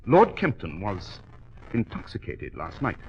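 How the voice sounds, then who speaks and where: An actor playing a keen, commanding English gentleman detective in 1940s radio drama, with a British accent and clipped, precise delivery. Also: on the low side, huge pitch swings, a little slow. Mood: keen, assured. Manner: clipped, assured, precise, formal. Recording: dull vintage radio recording.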